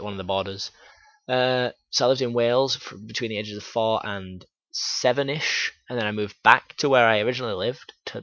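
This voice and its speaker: A young man from Yorkshire telling his life story in a halting, frank vlog, full of fillers. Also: fairly low pitch, a bit bright, no room sound, muffled recording. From Yorkshire, England. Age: young adult. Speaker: YouTuber.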